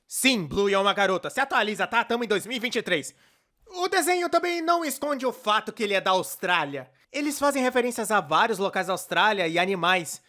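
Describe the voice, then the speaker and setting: A man's voice: high-pitched, lively intonation, brisk, bright, forceful tone. A man with a Brazilian accent playing a chatty, playfully sarcastic cartoonist narrator in a scripted fan dub. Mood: defensive, sarcastic. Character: chatty, excitable young male cartoonist narrator.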